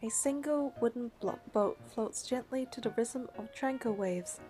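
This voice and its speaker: A woman reads game narration aloud and drops in casual comments as she plays. Her voice is fairly high but dark and relaxed in tone. Faint music runs behind her. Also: normal speed. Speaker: game player.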